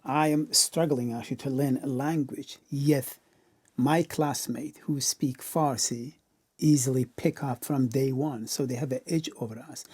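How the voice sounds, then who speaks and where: A man with a Kurdish accent speaks in a husky, slightly breathy voice with a warm, mellow tone. He tells his story in a direct, plain way as a guest in an interview. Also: medium pitch, steady tone, measured pace. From Iran. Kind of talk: personal interview account.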